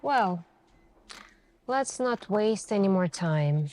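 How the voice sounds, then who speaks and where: Woman acting a very slow, menacing female detective. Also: mid-range voice, animated, a little mellow, slight background noise. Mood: cool, insinuating. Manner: deliberate, measured, insinuating, with pointed pauses. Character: suspicious, menacing female police detective interrogating a suspect. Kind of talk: slow ASMR roleplay interrogation.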